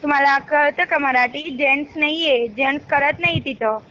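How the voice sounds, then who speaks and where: A woman's voice: sits high, normal speed, pushed and bright, slight background noise. A woman with a Marathi accent on a phone call, speaking in a curt, irritated, scolding way. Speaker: beauty parlour worker receiving a call. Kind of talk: exasperated phone conversation. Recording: dull lo-fi phone line.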